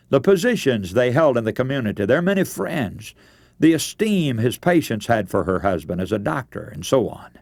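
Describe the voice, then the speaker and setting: Lively male radio preacher, dry sound. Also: medium pitch, no echo. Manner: earnest, instructive, addresses listeners as friends. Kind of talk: radio sermon with practical family advice.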